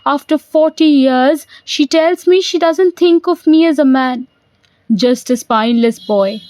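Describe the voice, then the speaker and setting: A woman's voice: high voice, unhurried, a little mellow, no room sound, rough recording. A woman voicing a bitter, sarcastic older man, a disillusioned dancer, in an audio play. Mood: scornful, agitated. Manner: sardonic, rhetorical, brooding.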